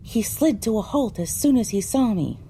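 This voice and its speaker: A woman's voice: fairly high pitch, lively intonation, normal speed, mellow, slight background noise. A woman delivering scripted, first-person narration for an audio drama.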